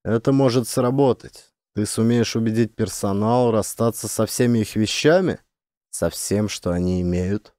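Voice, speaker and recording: A man's voice: on the low side, moderate pace, dark tone, no echo, clean studio sound, close to an everyday voice. A man playing a sober, decisive male major in a science fiction audiobook, firm and matter-of-fact. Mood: thoughtful.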